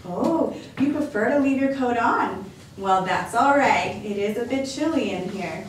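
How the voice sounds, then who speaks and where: Casting: woman doing a bright, chuckling grandmother, slow and gentle, heard from across a roomy space. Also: on the high side, expressive. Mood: fond. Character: kindly cheerful old grandmother. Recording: echoey room, mic at a distance, faint noise.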